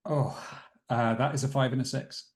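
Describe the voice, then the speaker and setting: A man's voice: medium pitch, steady tone, moderate pace. A man plays a tabletop roleplaying game, spinning the story aloud as he goes. His delivery is thoughtful and wordy, with a dryly theatrical streak. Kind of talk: improvised story narration at a game session.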